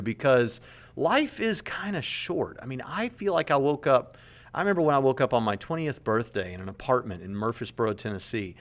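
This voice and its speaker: A man in his late thirties, earnest and conversational, commenting on a podcast clip and often repeating words as he reasons aloud. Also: mid-range voice. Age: 30s. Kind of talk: apologetics commentary.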